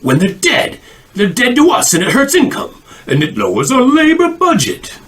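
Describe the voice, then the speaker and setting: A man's voice: extremely high pitch, huge pitch swings, normal speed, a bit bright, heavily acted. A man voicing a lewd older puppet doctor in an adult comedy, breezily inappropriate, theatrical and half-singing. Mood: breezy, lecturing. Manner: theatrical, swooping, half-sung delivery. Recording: hip hop music behind voice.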